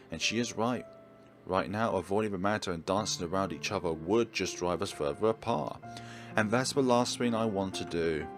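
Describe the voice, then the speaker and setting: Low-voiced man reading a story, animated pitch. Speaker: let's player reading a visual novel aloud. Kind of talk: expressive reading of story narration with commentary.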